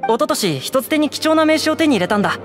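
A woman's voice: high voice, big pitch swings, fast. Earnest, eager young adventurer boy. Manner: earnest, admiring. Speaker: game character: novice adventurer. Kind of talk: Japanese anime game voice acting. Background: game music.

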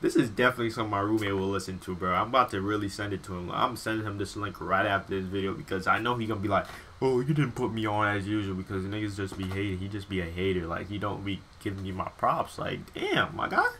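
Low-voiced young American man, casual and slangy. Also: fast talker, hardly any pauses, a little hiss or hum. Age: 20s. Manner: excitable, repeats phrases. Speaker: reaction video host. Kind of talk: casual slangy reaction commentary. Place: small bedroom, indoors. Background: hip hop music playing.

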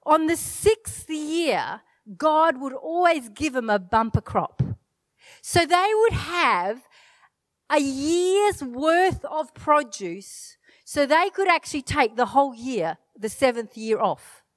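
A middle-aged woman pastor whose first language is Italian, preaching an animated sermon from a church stage into a handheld mic, with self-deprecating asides. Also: high-pitched, slow, no room sound. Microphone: handheld microphone.

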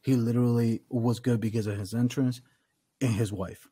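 A man's voice: mid-range voice, fairly even intonation, normal speed, mellow, husky, no room sound. An American man in his thirties co-hosting a casual podcast, talking in an emphatic, opinionated, slangy way. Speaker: podcast co-host. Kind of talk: casual wrestling review chat. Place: small room at home, on a video call. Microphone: desktop condenser microphone, close.

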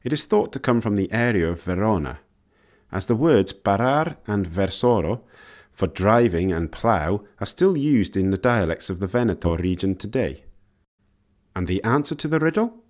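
Deep-voiced man narrating a lesson. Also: animated, moderate pace, darkish tone. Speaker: narrator of an educational language history video. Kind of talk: scripted educational narration.

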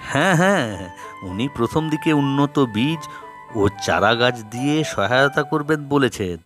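A man's voice: mid-range voice, animated, normal speed, a bit bright, faint music behind, natural voice. A man voicing an earnest, helpful villager in a cartoon, speaking expressively. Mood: delighted. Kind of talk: Bengali cartoon voice acting.

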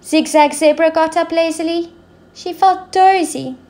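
A woman teacher reading a story to young children in an expressive way, drawing words out for emphasis. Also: extremely high pitch, unhurried.

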